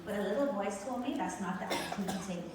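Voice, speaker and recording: A woman's voice: medium pitch, fast talker. Bahamian lady doing a motivational talk on stage, emphatic, stretches her words, super echoey and kinda far from the mic. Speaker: motivational speaker and show host. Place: large venue on stage with live audience. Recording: very echoey stage sound, distant, noisy.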